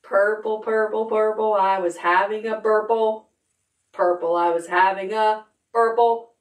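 A middle-aged woman hosting a children's storytime, reading aloud in simple, expressive language for toddlers. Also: medium pitch, a little slow, low-quality recording. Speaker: library storytime host. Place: indoor room, recorded on video.

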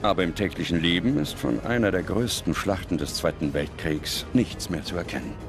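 A man reading scripted documentary narration in a solemn, measured manner with dramatic pauses. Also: sits low, lively intonation, gravelly. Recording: loud music under the voice. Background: music.

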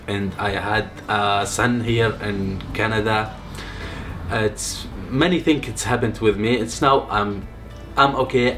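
A man in his thirties from Syria with a Syrian Arabic accent, speaking Arabic-inflected halting English in a living room interview, telling his story softly with many pauses. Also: fairly low pitch. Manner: halting non-native English, soft-spoken, many pauses. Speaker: refugee sharing his story. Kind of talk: personal testimony interview. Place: living room, seated on a couch. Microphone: off-camera mic, medium framing.

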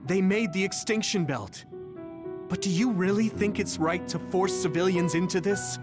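A young actor voicing an earnest, indignant young hero in an anime-style video game, a principled and defiant younger brother. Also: male voice, high voice, moderate pace, close to an everyday voice. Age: young adult. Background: dramatic background music.

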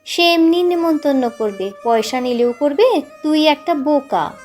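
A woman's voice: sits high, lively intonation, a little slow, a little mellow, voice pushed up. A woman voicing a scornful, practical boy scolding as an older brother does, in an audiobook story with music. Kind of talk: audiobook character voice. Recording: music under the voice.

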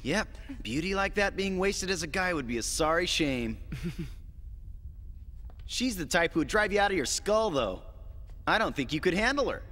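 A man's voice: sits high, sing-song, normal speed, pauses often, sharp tone, long reverb tail, full character voice. An actor playing a roguish adult male space cadet with a wry, teasing manner in an anime dub.

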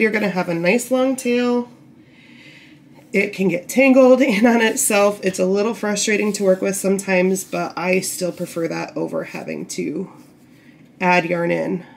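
Slightly croaky woman teaching knitting. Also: lowish voice, slight background noise. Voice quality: slightly gravelly. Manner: patient, reassuring, talks while working with hands. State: croaky from a cold. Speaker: knitting tutorial host. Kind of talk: casual step-by-step instruction. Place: indoor table, camera over hands.

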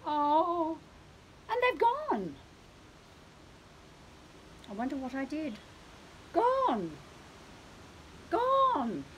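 A woman's voice: a little hiss or hum, heavily acted, poor audio. A woman voicing a fussy, dramatic male pet cat who wants fish, in a storybook read-aloud. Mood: alarmed. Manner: high, wailing and pleading.

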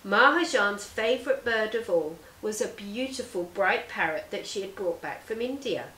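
A woman in her thirties, a teacher reading a children's story aloud with deliberate, theatrical phrasing. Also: mid-range voice, expressive, bright, forceful tone, far from the mic. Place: living room sofa, indoors. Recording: roomy indoor echo.

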